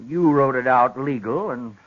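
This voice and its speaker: A man's voice: medium pitch, normal speed, lightly acted. An American actor playing an older, plain-spoken, stubborn rancher father with a folksy drawl in a 1950s radio western. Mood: wary. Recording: muffled vintage radio recording.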